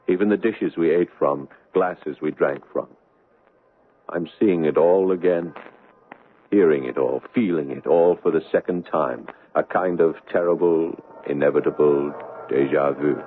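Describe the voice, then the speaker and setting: Casting: earnest young doctor haunted by lost love; low voice, dramatic delivery, muffled old radio broadcast. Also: male voice, slight background noise. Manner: wistful, deliberate, dramatic. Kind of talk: 1970s radio drama acting, intimate narration and dialogue.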